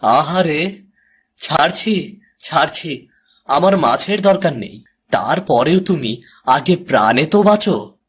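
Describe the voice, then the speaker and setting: A man's voice: a little high, lively intonation, slow, pauses often, breathy edge, heavily acted. A man playing a poor, kind-hearted fisherman and father in a cartoon, with a plaintive, exaggerated delivery. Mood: kindly, hesitant. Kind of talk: Bengali cartoon fairy-tale acting.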